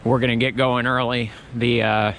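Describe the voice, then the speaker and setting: A man's voice: medium pitch, flat delivery, normal speed, a bit bright, a little hiss or hum, rough recording. Rambling man vlogging outdoors. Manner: rambling, chatty, many restarts. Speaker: travel vlogger. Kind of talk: casual walking commentary. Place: outdoor Christmas market in a city.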